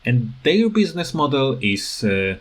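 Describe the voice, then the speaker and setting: A tired man who is not a native English speaker, giving a casual unscripted rant in a halting, rambling way that repeats words. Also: mid-range voice, very animated. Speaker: gaming video creator.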